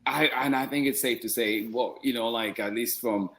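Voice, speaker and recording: A man's voice: mid-range voice, normal speed, fairly bright tone. A man hosting a story time show, asking a guest loose, rambling questions full of fillers. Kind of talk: casual interview.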